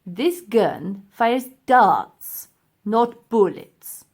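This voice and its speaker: A woman voicing a cold-hearted, gloating old male professor in a children's audiobook, with pompous, emphatic, drawn-out delivery. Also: mid-range voice, lively intonation, darkish tone, exaggerated voice. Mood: menacing. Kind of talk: children's audiobook character acting.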